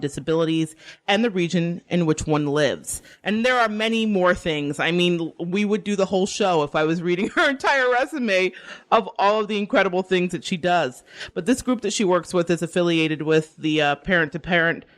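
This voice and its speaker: Woman radio host from the Bronx. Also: fairly low pitch. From the Bronx, New York. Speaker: radio talk show host. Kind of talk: radio interview.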